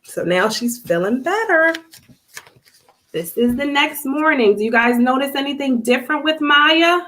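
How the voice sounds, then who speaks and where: Woman, children's storybook reader, higher voice, very lively intonation, expressive, direct address to young listeners. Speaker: children's book author reading aloud. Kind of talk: storybook read-aloud to children.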